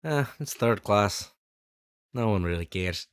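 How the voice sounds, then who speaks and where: A man's voice: on the low side, animated, a little slow, clear, resonant tone, dry recording. A man playing a cheeky, proud young passenger in an audio drama, with a breezy, blunt manner. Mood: friendly, teasing.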